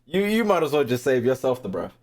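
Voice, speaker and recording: A man's voice: mid-range voice, sing-song, fast talker, a little mellow. Guy streamer reacting, slangy and sweary, voice swings up and down a lot. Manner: slangy, profane, incredulous asides. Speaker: streamer reacting to game lore. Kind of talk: casual reaction commentary.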